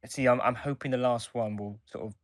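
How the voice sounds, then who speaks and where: A man's voice: medium pitch, fairly even intonation, brisk, dry recording, clean studio sound. A man co-hosting a podcast, talking casually as he reviews and gives his opinions. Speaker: podcast co-host.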